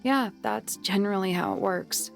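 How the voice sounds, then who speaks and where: A woman playing a dry, sensible detective sidekick in a video game, wry and deadpan. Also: mid-range voice, normal speed, vocal fry, soft background music, close to an everyday voice. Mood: dry, probing.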